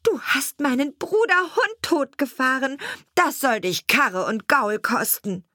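A woman's voice: on the high side, sharp tone, dry recording, studio quality, voice pushed up, heavily acted. A woman voicing a small, bold, vengeful sparrow in a fairy tale, threatening and taunting. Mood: warning, accusing. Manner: threatening, taunting, drawn-out refrain.

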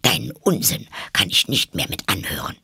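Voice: low-pitched, big pitch swings, normal speed, gravelly, pushed and bright, husky, no room sound, clean studio sound, heavily acted. A reader voicing a touchy, stern, melancholy little female mouse from a storybook, sighing and indignant. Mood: offended, annoyed.

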